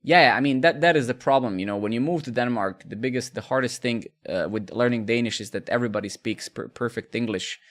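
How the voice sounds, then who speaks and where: A man's voice: medium pitch, a little fast, hardly any pauses, a bit bright. A man, chatting casually with viewers during a live coding stream, rambling and repeating words. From Serbia.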